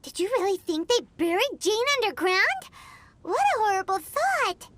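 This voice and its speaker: A woman voicing a tiny, chirpy, excitable girl companion in a video game, with a squeaky childlike voice. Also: normal speed, exaggerated voice. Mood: curious, surprised. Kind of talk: English video game voice acting.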